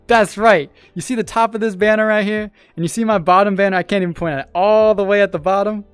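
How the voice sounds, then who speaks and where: A man's voice: sits high, steady tone, fast, clear, resonant tone, no echo. A man hosting a casual livestream, chatting with viewers and thanking new followers. He laughs often, repeats himself, and has a voice he says is made for radio and sales. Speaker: streamer and podcast host. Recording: dry close mic with faint music behind.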